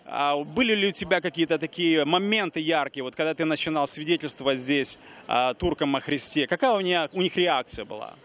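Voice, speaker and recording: A man's voice: a little high, a little fast, a bit bright. A man in his forties hosting an outdoor street interview, talking earnestly with many fillers and restarts into a handheld mic. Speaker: TV host. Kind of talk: street interview, ministry talk. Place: busy pedestrian street outdoors.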